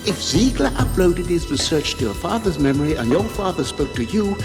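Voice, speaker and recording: A man's voice: on the high side, lively intonation, brisk. A man playing a clinical, self-absorbed research scientist in a video game, speaking formally and precisely. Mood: intrigued, clinical. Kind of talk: video game cutscene acting. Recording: music behind, long hall reverb.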